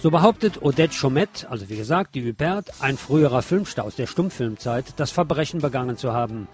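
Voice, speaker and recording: A man's voice: mid-range voice, lively intonation, fairly quick, faint music behind. A man hosting a radio show, reading a film review from a script with chatty asides to his listeners.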